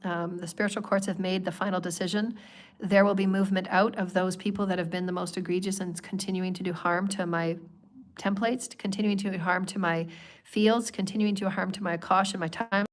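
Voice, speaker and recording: A woman talks on a spiritual livestream, her voice hoarse from a cold. She keeps a flat, level delivery and strings phrase after phrase into long repeated lists. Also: mid-range voice, brisk. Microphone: livestream microphone.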